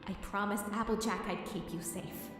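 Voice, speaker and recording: Woman acting a gentle pony princess, echoing hall. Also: medium pitch, fairly even intonation, normal speed, natural voice. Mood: solemn pleading. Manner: gentle, earnest crooning. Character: grieving young female pony princess, gentle, pleading, desperate. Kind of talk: audio drama acting. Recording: echoey hall, distant from mic. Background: prominent music.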